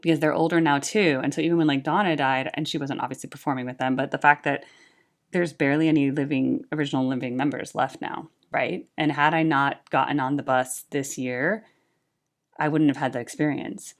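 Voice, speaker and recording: Chatty woman with a low speaking voice. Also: fairly even intonation, a little fast. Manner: chatty, lots of "like" and "right?", earnest. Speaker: YouTube music reactor and tribute band singer. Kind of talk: casual talking-head chat about music.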